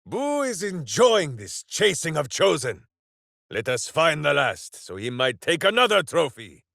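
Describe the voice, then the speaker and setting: An actor playing a big-hearted, boisterous, simple-minded heroic ranger in a video game, declaiming theatrically. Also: male voice, fairly high pitch, big pitch swings, unhurried, gravelly, fairly bright tone, no echo, clean studio sound, exaggerated voice. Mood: earnest, pondering. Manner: theatrical, declaiming, speaks of himself in third person. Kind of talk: video game character voice lines.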